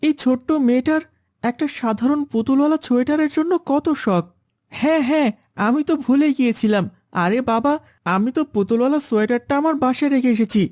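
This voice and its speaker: Woman voicing high-pitched pampered cartoon boy. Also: quick pace, darkish tone, dry recording, clean studio sound, lightly acted. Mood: friendly, eager. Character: proud, pampered young boy in a moral cartoon. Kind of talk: Islamic moral cartoon dialogue.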